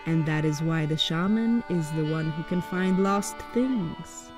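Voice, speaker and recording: A woman narrating a poetic spoken-word story in a slow, incantatory, drawn-out way. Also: sits low. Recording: faint instrumental music underneath.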